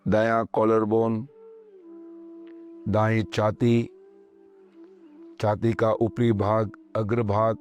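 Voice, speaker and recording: Male meditation guide, lower register, very slow calm delivery in short spaced phrases, music underneath. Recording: voice over background music.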